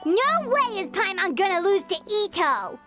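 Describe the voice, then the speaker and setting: A woman voicing a tiny, excitable female fairy companion in an anime game, squeaky and childlike, perky and easily indignant. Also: measured pace, soft background music, exaggerated voice. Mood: indignant, boastful. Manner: speaks of self in third person, indignant, perky.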